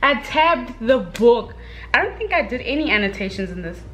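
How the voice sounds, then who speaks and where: A young woman giving a casual, chatty book review, speaking in an expressive, gushing, slangy way with dramatic emphasis. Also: fairly high pitch, moderate pace.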